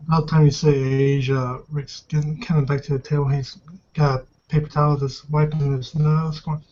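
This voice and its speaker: A man's voice: fairly high pitch, little pitch movement, moderate pace, darkish tone, lo-fi sound. A man talking casually as a player in a tabletop roleplaying game.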